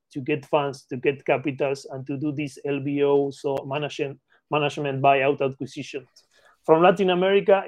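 Argentine guy, spanish accent, talks keen and halting, higher voice, dry sound. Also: dry recording. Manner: eager, repeats phrases, halting non-native English. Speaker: guest, M&A expert. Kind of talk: casual interview talk.

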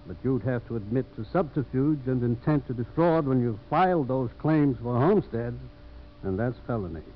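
An actor playing a sober, frank frontier-town judge in a 1950s radio drama. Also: male voice, medium pitch, lively intonation, normal speed, lightly acted. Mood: frank, grave. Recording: muffled old radio broadcast.

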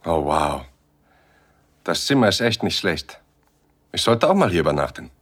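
An actor playing a laid-back, handsome stranger in an audio drama, speaking in an understated, relaxed way. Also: male voice, low voice, normal speed, full character voice. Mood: relaxed, agreeable.

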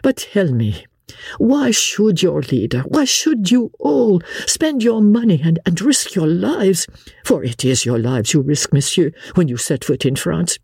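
Reader doing earnest french countess, worried mom, sighing and pleading, soft husky voice, storybook. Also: medium pitch, sing-song, measured pace, dry recording, studio quality, exaggerated voice. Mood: bewildered. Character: anxious, grateful French countess, a mother, earnest and bewildered.

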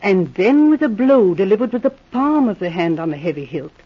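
Brisk prim lady agent, husky voice, lively up-and-down delivery, dull old radio sound. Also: medium pitch. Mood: earnest. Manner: brisk, earnest, a little prim. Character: Miss Guest, sharp-minded young woman secret agent. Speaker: secret service agent. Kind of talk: 1940s radio acting.

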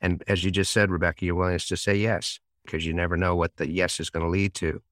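A man's voice: low-pitched, level tone, fast talker, a bit bright, dry recording, clean studio sound. A man hosting an interview, speaking conversationally in an affirming, reflective manner. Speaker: podcast host.

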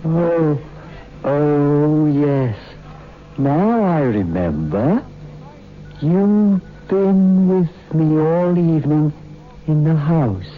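A man's voice: on the high side, expressive, drawn-out words, music in the background. An actor playing a frail, confused, submissive sick man, hesitant and plaintive, in 1960s radio horror style. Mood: meek, dazed. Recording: noisy, tinny old radio recording.

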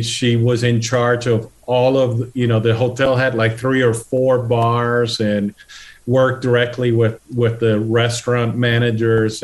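Man telling his story. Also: mid-range voice. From Puerto Rico. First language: Spanish. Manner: earnest storytelling with restarts. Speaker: guest, political candidate. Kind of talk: conversational interview.

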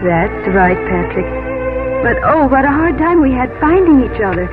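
A woman's voice: high-pitched, very animated, normal speed, music in the background. An actress playing an earnest, determined American woman writer in a 1950s radio drama. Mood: tender narration. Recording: tinny, band-limited old radio recording.

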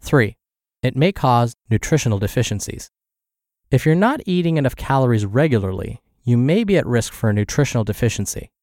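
A man's voice: mid-range voice, animated, fairly quick, a little mellow, no echo, studio quality. A man hosting a podcast and reading a health blog aloud, sounding congested and scratchy from the flu. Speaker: podcast host and narrator.

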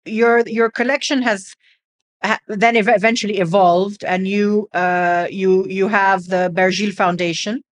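A woman's voice: mid-range voice, steady tone, measured pace. A woman hosting a podcast interview, asking long, probing, multi-part questions. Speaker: podcast host.

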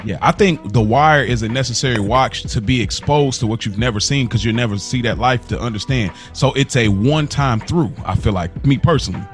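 An American man talks casually as a podcast co-host, with a mid-pitched voice. His delivery is slangy and animated, like someone telling a story to friends, with music playing along. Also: fairly quick, few pauses. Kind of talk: casual conversational chat.

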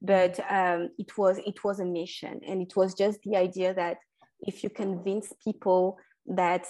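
A woman speaks as an interview guest, her pitch sitting on the low side. She talks haltingly, restarting sentences and filling pauses, and laughs often. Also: flat delivery, warm tone. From France. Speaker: guest, food entrepreneur. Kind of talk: casual interview.

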